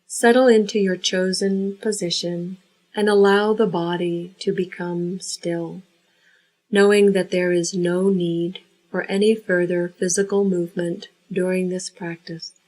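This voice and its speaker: A woman leading a guided yoga nidra relaxation, giving unhurried, measured instructions. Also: lowish voice, little pitch movement, a little mellow, low-quality recording.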